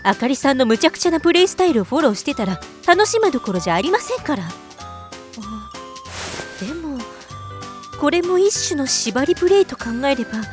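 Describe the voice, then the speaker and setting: A high, melodic schoolgirl voice acted by a woman for an anime drama. She is a proud, serious gamer who speaks formally with a haughty edge. Music sits behind her. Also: a little fast. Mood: smug. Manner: polite formal speech, deadpan, slightly haughty. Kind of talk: Japanese anime-style voice drama acting.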